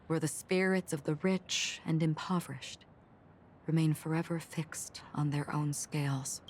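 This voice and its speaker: An actress playing a melancholy, forgetful swordswoman in a game, speaking in a measured, soft and reflective way. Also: low voice, a little hiss or hum. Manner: measured, solemn, soft-spoken. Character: melancholy, forgetful swordswoman, calm and enigmatic wanderer. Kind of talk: scripted game dialogue, reflective storytelling.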